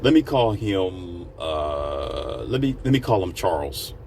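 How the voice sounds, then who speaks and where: A man's voice: sits low, big pitch swings, slow pace, vocal fry, darkish tone, slight background noise. A man hosting his own podcast, rambling in a casual, slangy storytelling monologue. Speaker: podcast host.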